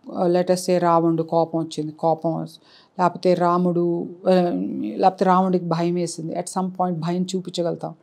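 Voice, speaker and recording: A woman's voice: lowish voice, flat delivery, normal speed, a little mellow. A woman who produces puppet shows, explaining her work in an interview and switching between Telugu and English. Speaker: puppet show producer and founder.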